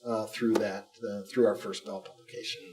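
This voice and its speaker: A man's voice: lowish voice, steady tone, normal speed, far from the mic. A man casually hosting an interview show as a school communications director.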